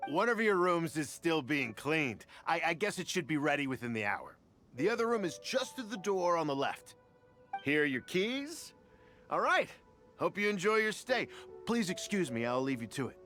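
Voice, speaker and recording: An eager, talkative innkeeper in a video game. His voice is highish and bright, bouncing around in pitch as he chatters in a welcoming, upbeat way. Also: big pitch swings, moderate pace, soft background music. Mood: cheerful, busy. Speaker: hotel keeper.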